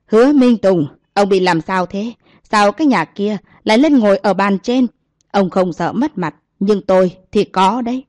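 A woman voicing a domineering old grandmother who shouts and scolds scornfully. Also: medium pitch, normal speed, no echo, clean studio sound, close to an everyday voice. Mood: scolding.

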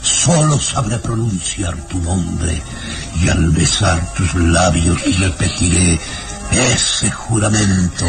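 An obsessed old baron. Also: male voice, deep voice, gravelly, heavily acted. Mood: obsessive. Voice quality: slow, brooding, swings to shouting. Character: older male baron, obsessed, menacing father. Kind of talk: 1960s radio drama acting. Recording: radio drama with loud music bed.

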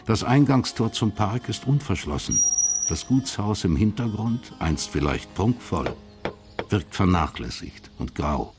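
A man narrating a radio crime drama in a measured, literary storytelling manner. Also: on the low side, big pitch swings, music in the background.

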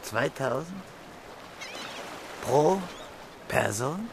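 In a radio play, a stubborn sea captain who answers in blunt, clipped phrases. His voice is gravelly and mid-pitched. A noisy background runs behind it. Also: animated, extremely slow, full character voice. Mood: gruff. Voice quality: curt, blunt replies. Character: gruff stubborn male ship captain. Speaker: ship captain.

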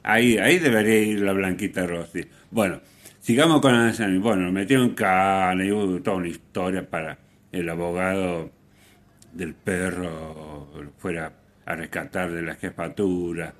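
A man with an Argentine accent hosting a casual radio talk about music, halting and repeating phrases for emphasis. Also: sits low, steady tone. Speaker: radio host.

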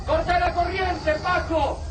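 A coarse, rowdy fighter in a radio war drama. He yells at a very high pitch, far off in an echoey scene full of loud noise. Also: flat delivery, takes it slowly. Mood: exultant. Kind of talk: dramatic radio play acting. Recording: very noisy, echoey, distant battle scene.

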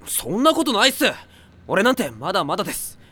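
A man playing a hot-blooded, earnest high-school athlete in an anime audio drama, loud and emphatic in rough boyish slang. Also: wildly swooping pitch, fast, full character voice.

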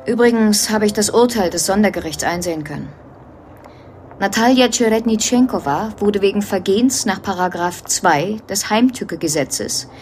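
A woman's voice: medium pitch, a little mellow, natural voice. A woman playing a matter-of-fact lawyer in a radio drama, with a dry, wry, businesslike manner. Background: faint music.

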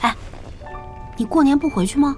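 Actress as a gentle, high-voiced young woman gamer. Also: normal speed, faint music behind, close to an everyday voice. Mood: polite, earnest. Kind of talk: Chinese audio drama acting.

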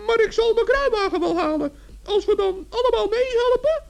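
A man voicing a small, cheerful, sensible male forest gnome with a squeaky, creaky voice in a children's radio play. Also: normal speed, a little mellow. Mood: friendly, practical.

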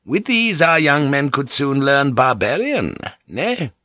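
A man's voice: medium pitch, sing-song, takes it slowly, pushed and bright, no echo, lo-fi sound, voice pushed up, full character voice. Noble lady Ochiba, teasing and silky, as a male narrator voices her, dry close sound. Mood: eager.

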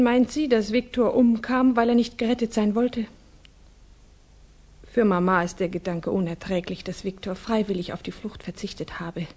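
A woman's voice: mid-range voice, a little mellow, lightly acted. An actress playing a formal, earnest young Russian noblewoman who is worried and grieving, in a radio drama. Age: young adult.

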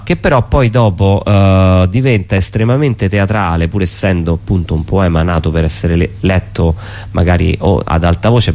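A man talks as an interview guest. He explains things off the cuff, reflectively, pausing and rephrasing as he goes. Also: sits low, darkish tone. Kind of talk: unscripted explanatory interview talk.

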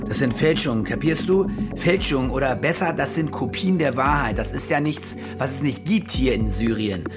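An actor in a radio drama playing a cynical German war reporter who talks in a sullen, combative, sardonic manner. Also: male voice, mid-range voice, normal speed, over music, close to an everyday voice. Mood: defiant. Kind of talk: radio drama acting, naturalistic dialogue.

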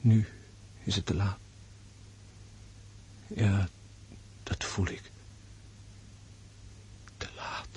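An actor playing a gravely wounded nobleman in a radio drama, tender and sorrowful, musing in a hushed, introspective way. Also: on the low side, fairly even intonation, slight background noise. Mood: sorrowful, resigned. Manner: slow, hushed, introspective monologue.

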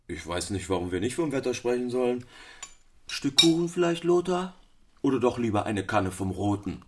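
A man playing a courteous, bookish storyteller with formal, measured literary phrasing in a radio play. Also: medium pitch, big pitch swings, noisy background, close to an everyday voice. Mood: genial. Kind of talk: German radio play acting, period literary dialogue.

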